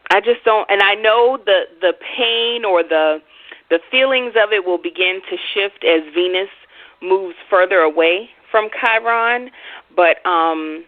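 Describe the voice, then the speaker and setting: A young woman co-hosting a call-in astrology show. She talks casually, with hesitant ums and quick laughs. Her voice comes through a thin, phone-like line. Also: medium pitch, dry recording. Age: young adult. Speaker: astrology talk show co-host. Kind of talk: casual chat.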